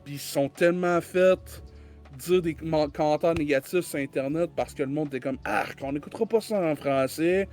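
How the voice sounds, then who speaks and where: Man, Quebec French accent, rambling casual guest, fairly high voice, faint music underneath. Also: moderate pace, darkish tone. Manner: rambling, many restarts and repeated words. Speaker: podcast guest. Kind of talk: casual conversational chat.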